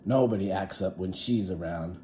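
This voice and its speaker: A man voicing a knowing big schoolkid in a storybook, warning younger children in an ominous, drawn-out way. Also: low voice, mellow, a little hiss or hum, close to an everyday voice.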